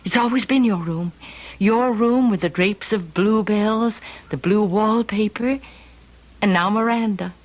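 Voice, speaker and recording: An actress playing a lonely, tender woman who longs to be a mother, speaking gently in an old-time radio drama. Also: medium pitch, measured pace, lightly acted. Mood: tender, yearning. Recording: dull lo-fi radio recording.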